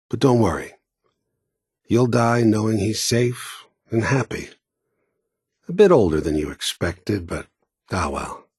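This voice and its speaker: A weary hardened killer for a game, deep gravelly voice, slow taunting delivery, really dry sound. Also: male voice, no echo, heavily acted. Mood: mocking, calm. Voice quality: gravelly, husky. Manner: sardonic, deliberate, taunting. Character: cold, world-weary, menacing hardened male mercenary killer. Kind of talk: video game voice acting.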